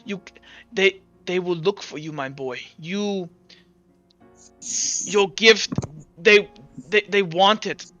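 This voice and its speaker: A man voicing a grave, kindly doctor in a tabletop game, speaking with halting, earnest urgency. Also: high voice, pushed and bright, dry recording, muffled recording, soft background music, exaggerated voice. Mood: pleading, tender. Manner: halting, earnest, repeats himself.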